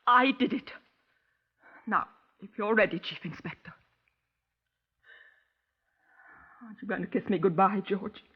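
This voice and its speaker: An actress playing an overwrought, imperious widow who confesses to murder, in old radio crime drama style, husky and theatrical, shifting between vague muttering and sharp commands. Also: female voice, medium pitch, moderate pace, lightly acted. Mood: defiant. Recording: dull 1950s radio recording.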